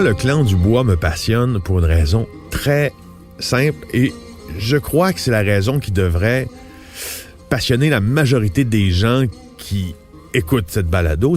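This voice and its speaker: A man's voice: lowish voice, a little mellow. A man with a Québécois accent, an investigative journalist and host, explaining things earnestly in a podcast interview. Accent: Québécois French.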